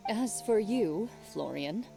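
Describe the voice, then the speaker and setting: A woman voicing a composed, stern but fair duelist in a video game, measured and formal in her delivery. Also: mid-range voice, animated, vocal fry, music in the background. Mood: solemn, lenient. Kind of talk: animated video game acting.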